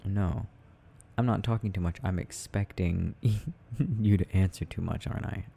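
Tender boyfriend male actor, late-night ASMR roleplay: slow, gravelly murmur, doting and gently teasing. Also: low voice, heavily acted. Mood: relaxed, gently rambling. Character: tender, doting boyfriend soothing his sleepy partner at night.

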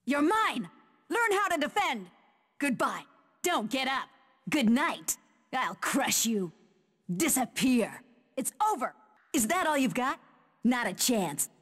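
Casting: proud, scornful female fighter for a game; highish voice, slow theatrical declarations, long reverb. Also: very animated, fairly bright tone, heavily acted. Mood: combative. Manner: haughty, theatrical, clipped declarations. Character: fierce female fighter taunting opponents in battle. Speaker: video game character voice. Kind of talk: dramatic fighting game battle quotes.

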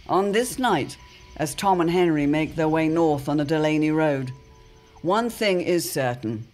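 A man playing a melodramatic narrator of a period radio serial, with ominous, drawn-out emphasis. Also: fairly high pitch, close to an everyday voice. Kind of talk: theatrical old-time radio serial narration. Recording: music under the voice.

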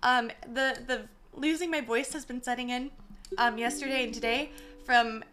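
High-voiced woman streamer, a bit hoarse. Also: fairly even intonation, normal speed, noisy background. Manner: chatty, apologetic, greets viewers by name. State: slightly hoarse, voice worn from talking. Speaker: gaming streamer. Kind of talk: casual live-stream chat. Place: small room at home. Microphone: desk mic set far from her, gain turned up.

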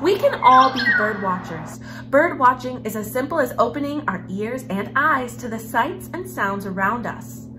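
Female children's read-aloud host, young, higher register, very animated delivery over music. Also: normal speed, few pauses. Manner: expressive, animated storyteller, with hushed passages. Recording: music behind the voice. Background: background music.

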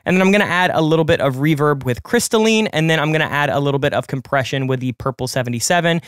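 A man in his late twenties walks through a music production in a tutorial, talking fast and casually as he explains each step. His voice sits a bit high and sounds smooth and clear. The sound is dry and close, recorded in his home studio. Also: fairly bright tone, no room sound. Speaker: music producer and channel host. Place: home studio with colored lights.

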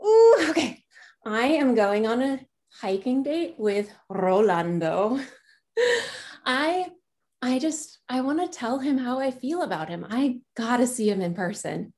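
A woman's voice: a little high, very animated, slow, darkish tone, full character voice. An actress playing an eager young woman in love, talking to her therapist over a video call. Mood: excited. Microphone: video call webcam.

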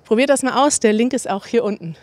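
A woman's voice: on the high side, fast, slight background noise. A woman in her thirties presenting a sponsor message to camera in a fluent, explanatory way. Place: outdoors in a garden courtyard. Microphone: handheld microphone.

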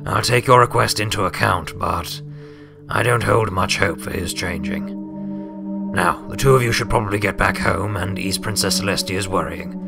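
A man's voice: low-pitched, gravelly, over music. A man as a grave old wizard pony. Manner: formal, measured.

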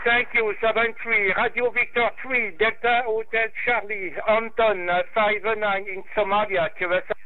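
High French-accented male radio operator, thin phone-like band. Polite, hesitant callsign exchanges. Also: steady tone, moderate pace, hardly any pauses. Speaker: amateur radio operator running contacts. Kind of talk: brisk ham radio contact exchanges with callsigns. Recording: thin phone-like radio transmission, faint noise.

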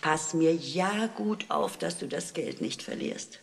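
A woman's voice: sits low, slow, vocal fry, heavily acted. A woman playing a caring, fussing mother in a 1960s radio play, giving motherly instructions and admonishments. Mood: careful, instructing. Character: caring, fussing working-class mother of a schoolboy. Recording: vintage record with background noise.

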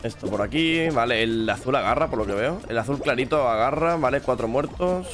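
A young man from Spain with a Spain Spanish accent, casually commentating his own gameplay with a lingering cough, speaking into a boom mic at home. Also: animated, brisk, fairly bright tone, soft background music. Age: young adult. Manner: upbeat, chatty, addresses viewers directly. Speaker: gaming youtuber. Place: home gaming room with shelves of figures. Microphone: studio mic on boom arm, headset on.

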